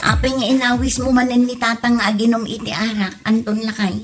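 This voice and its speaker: An exasperated Ilocano daughter played by a woman in a comedy drama. Her voice is slightly high, and she questions and scolds her elders in a Filipino accent. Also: level tone, a little fast, loud music behind the voice, lightly acted. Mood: scolding. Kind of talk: comedy radio drama acting.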